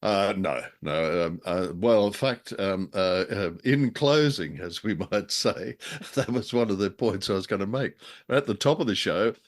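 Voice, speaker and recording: A man's voice: on the low side, unhurried. A man with an Australian accent co-hosting a show in casual, unscripted chat, with a jokey, dry way of telling things. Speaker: podcast co-host.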